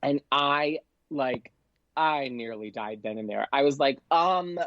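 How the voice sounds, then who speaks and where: A man chats through an anecdote as a podcast guest in an excitable, gushing way. He peppers it with "like" and voices the dialogue as he goes. The sound is dry and somewhat dull, with a lo-fi edge. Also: mid-range voice, takes it slowly, no echo. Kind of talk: casual anecdote, chatty storytelling.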